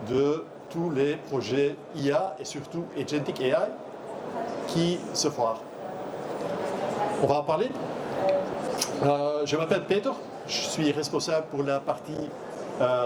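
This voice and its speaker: A middle-aged man from Belgium, not a native French speaker, giving a halting trade show talk full of fillers and restarts. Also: on the high side. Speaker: conference speaker. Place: trade fair hall. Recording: noisy trade fair hall.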